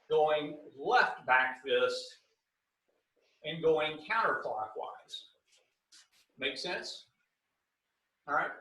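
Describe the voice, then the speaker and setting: A man teaching a martial arts class over a video call, calling out drill commands and explaining them in a relaxed way. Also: a little high. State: bothered by low back pain. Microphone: computer mic on a video call. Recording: roomy video call audio from a distance.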